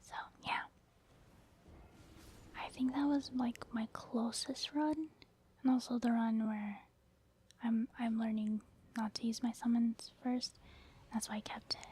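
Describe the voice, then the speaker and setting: A woman giving casual, rambling game commentary in a soft, breathy ASMR whisper, with an encouraging manner. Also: on the high side, fairly even intonation, a little slow, pauses often. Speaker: gaming ASMR creator.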